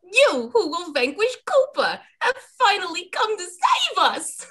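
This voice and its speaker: High-pitched female rebel captain, played by an amateur woman reader: grand, theatrical, slow, wildly melodic. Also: huge pitch swings, fairly bright tone, exaggerated voice. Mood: solemn, grand. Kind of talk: amateur dramatic script reading.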